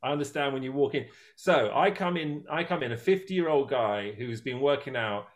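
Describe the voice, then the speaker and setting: A fifty-year-old man interviews his guests in a loose, rambling way, full of restarts and jokes at his own expense. His voice sits at an ordinary middle pitch, neither deep nor high. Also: expressive, moderate pace. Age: 50s. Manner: rambling, self-deprecating, many restarts. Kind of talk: casual interview chat.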